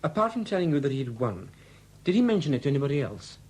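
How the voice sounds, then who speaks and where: An actor in a 1970s radio drama playing an obliging local police sergeant, informal and deferential in manner. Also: male voice, a little high, sing-song, fast, a little mellow, breathy edge. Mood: mildly probing. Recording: noisy old 1970s radio recording.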